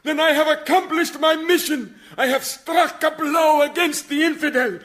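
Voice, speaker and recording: An actor playing a zealous, solemn martyr newly arrived in the afterlife in a radio comedy. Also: male voice, very high voice, steady tone, normal speed, fairly bright tone. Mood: ecstatic. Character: zealous, elated male martyr newly dead. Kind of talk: broadcast radio comedy acting.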